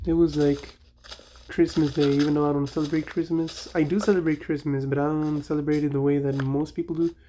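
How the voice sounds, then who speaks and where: A man's voice: fairly high pitch, flat delivery, fairly quick, mellow, a little hiss or hum. A young man with a Mexican accent, chatting casually and nostalgically while playing a game, often correcting himself mid-sentence. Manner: rambling, nostalgic, self-correcting. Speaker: gaming channel host.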